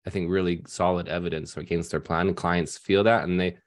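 A deep-voiced man in his thirties speaks over a headset mic on a video call from a room at home. He explains casually and loosely, full of fillers and restarts. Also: level tone, a little fast. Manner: conversational with many fillers and restarts. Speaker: financial advisor panelist. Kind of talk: casual panel discussion.

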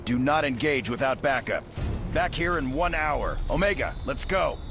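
A man playing a gruff, commanding special forces squad leader in a video game, giving clipped orders. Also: mid-range voice, expressive, moderate pace, lightly acted. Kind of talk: dramatic video game acting. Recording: music behind the voice.

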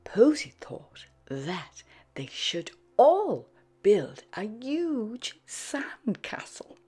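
A woman reading a children's storybook aloud in an animated, sing-song way, with a breathy voice. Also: on the high side, drawn-out words. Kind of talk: expressive scripted storybook read-aloud for young children. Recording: background music under the voice. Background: music.

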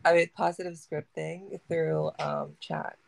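A woman who works in chat support, speaking softly and politely in a calming customer-service manner as a guest on a video call. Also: low voice, measured pace, gravelly. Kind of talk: casual live stream chat.

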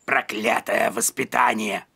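A performer voicing a young male caterpillar in a children's cartoon, gravelly and creaky, with exaggerated courtesy and some grumbling. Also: mid-range voice, fairly even intonation, unhurried, bright, forceful tone, exaggerated voice. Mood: fed up. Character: young male caterpillar, grumpy but trying to be polite. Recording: background music throughout.

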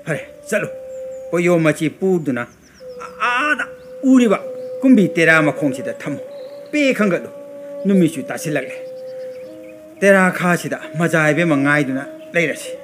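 An actor playing an impatient, excitable lord prodding his servant in a radio drama. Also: on the high side, rapid-fire, long pauses, natural voice. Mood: demanding. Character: impatient, excitable lordly male master quizzing his servant. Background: background music.

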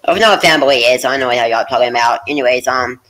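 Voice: medium pitch, very fast, fairly bright tone. A stream host in casual chat, talking bluntly and a bit dismissively.

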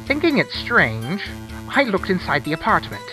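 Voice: mid-range voice, wildly swooping pitch, normal speed, music in the background, poor audio. A performer with a woman's-sounding voice playing a shifty, wordy salesman giving theatrical testimony in a game fan dub. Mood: dramatic testimony.

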